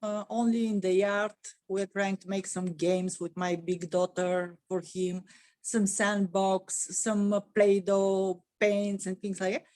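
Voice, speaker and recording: A middle-aged woman with a Bulgarian accent answers an interview question at a medium pitch. She talks in a halting way and fills her pauses with frequent "uh"s. Her sentences often stall and start again. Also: flat delivery, darkish tone, no room sound. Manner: halting, many "uh" fillers and restarts.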